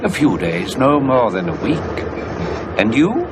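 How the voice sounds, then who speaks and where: An actor playing a courtly, flattering gentleman with suave, old-fashioned manners in a radio horror drama. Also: a little slow. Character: courtly, flattering older gentleman charming a woman. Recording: loud noisy background rumble.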